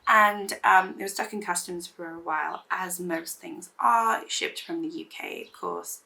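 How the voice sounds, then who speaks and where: A woman with a hoarse voice still recovering from losing it, chatting casually about makeup while doing her look, rambling with many fillers and restarts. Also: lowish voice, a little slow, pushed and bright, low-quality recording. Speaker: beauty vlogger. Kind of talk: casual get-ready-with-me chat.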